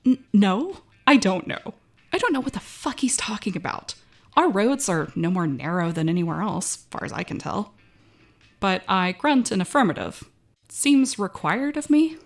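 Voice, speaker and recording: A woman's voice: medium pitch, very animated, normal speed, soft background music. A woman playing an uneasy, wry young female cashier, narrating an audio drama in a wary, conversational first person.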